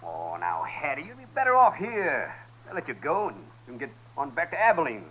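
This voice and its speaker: An American actor playing a hot-tempered, callous outlaw in a 1950s radio western, with rough, rustic speech. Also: male voice, on the high side, huge pitch swings, brisk, a bit bright, exaggerated voice. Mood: dismissive. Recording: noisy vintage radio recording.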